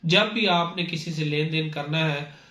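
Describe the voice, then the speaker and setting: A man's voice: a little high, unhurried, sharp tone. A man with a Pakistani accent giving an informal explanatory lecture, didactic and repeating his points as a presenter. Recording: echoey, reverberant room sound.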